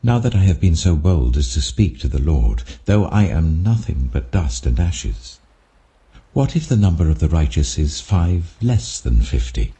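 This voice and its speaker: A man's voice: a bass voice, big pitch swings, measured pace, warm tone, heavily acted. A British man voicing a very old, humble patriarch who speaks earnestly and deferentially, as if imploring, in a scripture story. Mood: earnest pleading.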